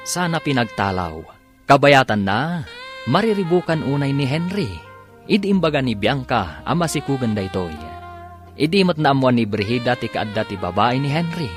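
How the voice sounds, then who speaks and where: A man narrating an Ilocano radio drama as its announcer, in a theatrical scripted style with drawn-out titles. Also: medium pitch, sing-song, faint music behind.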